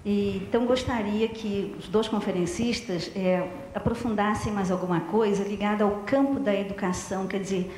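A woman's voice: mid-range voice, fairly even intonation, darkish tone, slight background noise. Brazilian woman asking from the audience. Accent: Brazilian Portuguese. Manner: halting, heartfelt, many fillers. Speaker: audience member, psychologist. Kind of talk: audience question. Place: large hall, audience. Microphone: handheld mic. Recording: roomy hall, long reverb.

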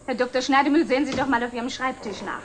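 An actress playing a brisk, efficient office secretary in a 1960s German TV drama. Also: female voice, sits high, natural voice. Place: office room. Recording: old film soundtrack, faint noise.

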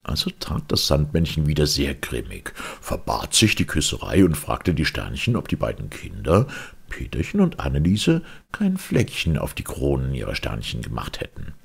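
Male fairy-tale narrator, gravelly and hugely animated in pitch, theatrical children's audiobook delivery. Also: moderate pace. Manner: theatrical, dramatic storytelling.

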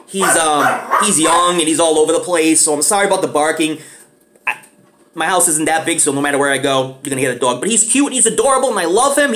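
A man's voice: on the high side, a bit bright, slight background noise. A man in his thirties giving a casual, rambling movie review in a small living room, speaking enthusiastically with many fillers into his webcam mic.

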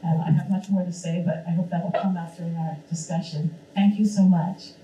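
A woman's voice: lowish voice, steady tone, a little fast, noisy background. A woman speaking as a museum professional, closing her talk at a college in an earnest, hopeful way. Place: college lecture room. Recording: echoey room, distant mic.

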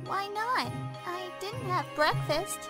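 Sweet cartoon kid voiced by a woman: tiny high voice, slow innocent delivery, loud music underneath. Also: expressive, heavily acted. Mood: bright wonder. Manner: wide-eyed, innocent. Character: sweet, naive, cheerful young child. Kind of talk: comic dub voice acting.

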